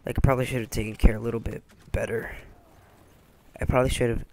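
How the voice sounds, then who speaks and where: Young male host, voice nearly gone, gravelly and scratchy, rambling casual review. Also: medium pitch, flat delivery, fast talker, a little mellow. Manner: rambling, many fillers and restarts. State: hoarse, lost voice. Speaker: gaming channel host.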